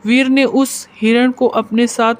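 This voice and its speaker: Male narrator for scripted story, high pitched, smooth and crisp. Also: flat delivery, a little slow, soft background music.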